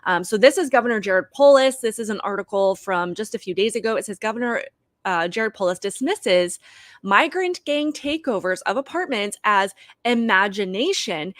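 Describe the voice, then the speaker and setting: A woman's voice: medium pitch, fairly bright tone, dry recording, studio quality. A woman hosting a political commentary show, reading news articles aloud and arguing her view in an earnest way, with frequent fillers.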